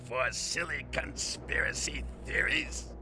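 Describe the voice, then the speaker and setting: A man voicing a pompous, irritable alien industrial boss, a scornful villain in an animated game cutscene. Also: fairly high pitch, vocal fry, over music, full character voice. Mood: irritated, scornful. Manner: drawn-out, scornful.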